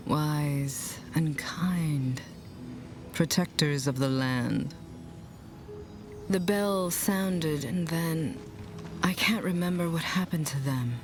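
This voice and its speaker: A woman playing a sorrowful ancient guardian dragon under a curse in a fantasy game, halting and solemn, with noise behind her voice. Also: deep voice. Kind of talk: fantasy game dialogue acting.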